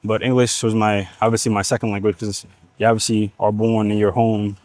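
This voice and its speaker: A man's voice: fairly low pitch, level tone, a little fast, a little mellow, no room sound. A man from Nigeria whose first language is Igbo, rambling casually in a gym vlog with slang and swearing. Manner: slangy, profane, rambling asides. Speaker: fitness vlogger.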